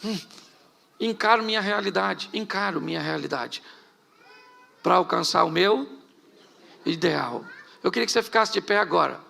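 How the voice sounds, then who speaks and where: A man's voice: sits high, lively intonation, normal speed, vocal fry, pauses often, sharp tone. A middle-aged man from Rio de Janeiro with a Carioca accent, preaching a humorous live sermon with jokey stories and rhetorical questions to the congregation. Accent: Carioca Portuguese. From Rio de Janeiro, Brazil. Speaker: pastor. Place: church hall before a congregation.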